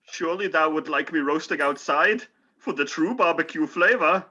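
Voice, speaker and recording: A man playing a cheeky, quick-witted captive of trolls, talking in wordy mock-archaic English for a folktale radio play. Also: on the high side, sharp tone, highs cut off. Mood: pleading, wily.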